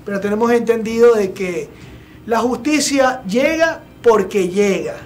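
A young man hosting a TV studio show, giving blunt, opinionated commentary with many filler pauses. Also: sits high. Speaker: TV show host. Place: TV studio set with sofa. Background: background music.

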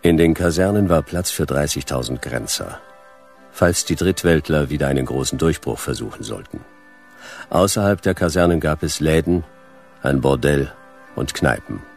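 A world-weary private eye with an extremely deep voice full of creak. He narrates a radio drama tersely and deadpan, over music. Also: normal speed, exaggerated voice. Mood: dry, brooding. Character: laconic, world-weary male private detective. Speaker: detective and narrator.

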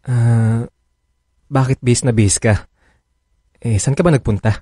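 Sulky, possessive boyfriend, mid-pitched. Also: male voice, takes it slowly, warm tone, dry recording, studio quality. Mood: affectionate. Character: possessive, reluctant adult male lover. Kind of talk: radio drama acting.

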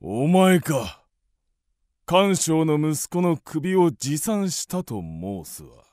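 Casting: haughty old tyrant king for radio drama, very slow and swooping, creaky grain. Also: fairly high pitch, sing-song, a little mellow, no echo, clean studio sound, full character voice. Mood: haughty, demanding. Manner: haughty, archaic lordly speech. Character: imperious, greedy, suspicious old king of ancient China. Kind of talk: Japanese radio drama acting.

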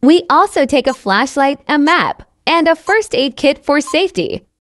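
A woman reading a scripted story for language learners, with careful enunciation and identical, measured phrasing. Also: high voice, sing-song, a bit bright. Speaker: narrator of English learning stories.

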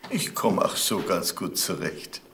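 An actor playing a smug, self-assured dealer in noble titles in a German crime radio drama. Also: male voice, deep voice, a little slow, creaky. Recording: faint noise with clicks.

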